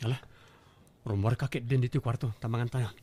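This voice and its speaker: A man's voice: medium pitch, steady tone, fast, warm tone, close to an everyday voice. A man acting a beleaguered young husband in a Filipino radio drama, with an Ilocano accent and a defensive, placating manner. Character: beleaguered young husband caught between wife and mother.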